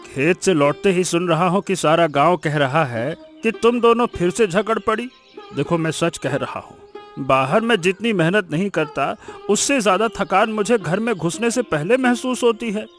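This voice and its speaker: A man voicing a weary, exasperated village farmer husband in an animated story, pleading in a melodramatic way. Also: sits high, moderate pace, faint music behind.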